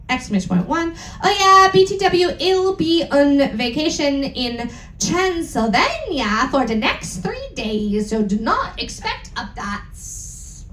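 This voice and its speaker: A woman reads aloud on stage in a high, wildly animated voice. She plays up the dialogue theatrically and drops in wry asides. The slow, deliberate comedic reading rings around an echoey live room. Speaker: performer reading fan fiction aloud. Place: live event room aboard a cruise ship.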